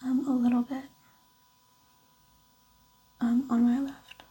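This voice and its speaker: A woman with a whispery, breathy voice, giving short, hesitant answers as the patient in a quiet ASMR roleplay. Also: fairly high pitch, flat delivery, slow pace, rough recording.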